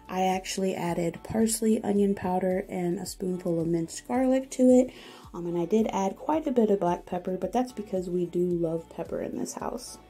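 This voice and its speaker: A woman's voice: medium pitch, mellow, slightly rough. A woman hosting a home cooking channel, giving chatty, encouraging step-by-step recipe voice-over.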